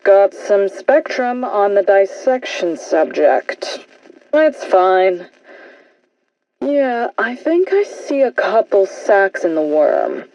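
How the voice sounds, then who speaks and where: Casting: absent-minded game scientist, offhand and unbothered, unhurried pace, mellow tone. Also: female voice, mid-range voice, very animated, dry recording, muffled recording. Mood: absorbed, offhand. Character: distracted, unbothered woman lab researcher muttering over work. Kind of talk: video game character dialogue.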